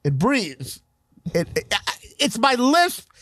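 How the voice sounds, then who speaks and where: Animated, excitable young man. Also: very high-pitched, extremely slow, dry recording. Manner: excitable, rambling, laughs often. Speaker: podcast co-host. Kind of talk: casual movie chat.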